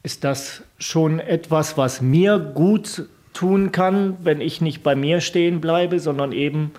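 Male radio host, fairly high but mellow, very dry studio sound. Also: slow, dry recording. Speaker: radio presenter. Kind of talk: scripted radio presentation.

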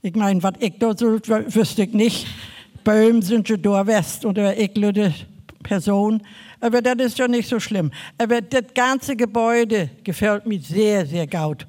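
An older woman with a Mecklenburg Low German accent, chatting as a guest. She rambles a little, working into long stories. Her voice sits at an ordinary middle pitch. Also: measured pace, darkish tone. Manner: chatty, rambling dialect speech. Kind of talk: casual chat on stage.

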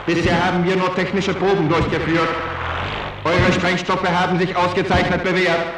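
A coldly courteous salamander leader acted by a man delivers a formal radio-play address. The voice is high for a male and barely varies in tone. It comes from far off, drenched in echo. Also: close to an everyday voice. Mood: cold, polite menace. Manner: measured, flat, coolly polite menace. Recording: distant, very echoey, noisy radio transmission.

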